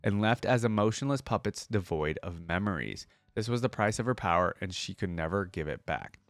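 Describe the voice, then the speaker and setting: A man's voice: low voice, very animated, a little fast, no room sound. A man hosting a reaction video, reading story lore aloud and breaking into casual commentary.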